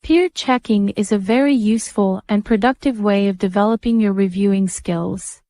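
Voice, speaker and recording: Mellow woman reading aloud. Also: medium pitch, normal speed, no room sound, clean studio sound. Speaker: exam practice reader. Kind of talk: scripted read-aloud passage narration.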